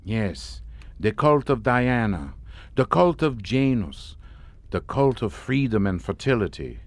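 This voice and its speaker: An actor playing a courtly, grandiose and mockingly amused Hungarian count in a suspense audio drama. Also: male voice, medium pitch, very animated, slow pace, dry recording. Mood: grandly sinister. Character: sardonic, theatrical Hungarian count, host of a chateau.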